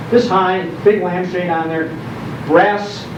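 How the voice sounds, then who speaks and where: An older man with a Chicago accent gives an informal, folksy talk to an audience, reminiscing in descriptive detail with emphatic pauses. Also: fairly even intonation. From Chicago area, United States. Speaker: guest speaker, nostalgia storyteller. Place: indoor program venue, recorded from a distance. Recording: echoey room, distant mic, dull, noisy rumble.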